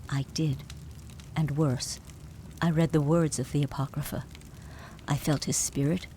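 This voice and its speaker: An actress playing a tormented, remorseful spirit guide in a video game, speaking in a formal, measured, solemn way. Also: female voice, low voice, fairly even intonation, a little hiss or hum. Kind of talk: dramatic video game voice acting. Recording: loud music behind. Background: game score music.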